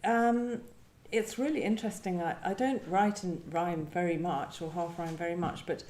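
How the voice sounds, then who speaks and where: A woman poet with a soft, dark-toned voice speaks in a literary setting. She is reflective and feels for her words, and the room rings noticeably around her. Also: lowish voice, steady tone, normal speed. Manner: hesitant, searching, thoughtful phrasing. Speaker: poet discussing own work. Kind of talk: reflective literary conversation with poetry reading. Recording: roomy live event sound.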